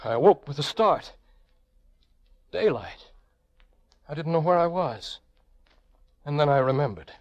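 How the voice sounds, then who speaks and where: An actor playing a proud, intense young prisoner in an old-time radio drama, speaking in earnest, reflective phrases. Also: male voice, mid-range voice, dry recording, muffled recording, close to an everyday voice. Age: young adult. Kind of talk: old-time radio drama acting, first-person narration.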